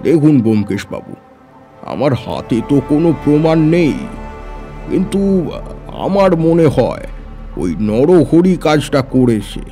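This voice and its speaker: Wealthy village gentleman played by a male actor, dark mellow tone, confiding and conversational, soft music, audio drama. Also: on the high side.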